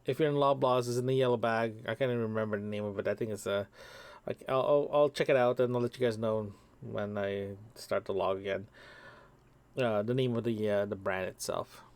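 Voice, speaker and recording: A man's voice: lowish voice, steady tone, a little fast, darkish tone, clear, resonant tone. A young man from Toronto, Canada, recording a casual audio diary into a microphone, rambling with many ums, restarts and repeated words. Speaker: podcast host. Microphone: single microphone.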